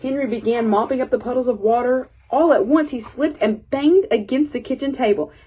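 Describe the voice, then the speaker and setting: A woman reading a children's picture book aloud as an expressive storyteller. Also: sits high, a little fast, slightly rough, poor audio.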